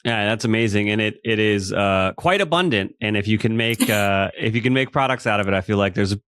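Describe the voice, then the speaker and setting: A man hosting an interview podcast, answering with brisk affirmations and quick follow-up questions. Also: fairly low pitch, a bit bright, clear, resonant tone, no echo. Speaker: podcast host.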